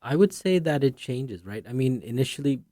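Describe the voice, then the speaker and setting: A man in his thirties from India, a podcast guest telling his story in a relaxed way, often tagging lines with "right?". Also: mid-range voice, moderate pace, no echo, clean studio sound. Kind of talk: conversational interview.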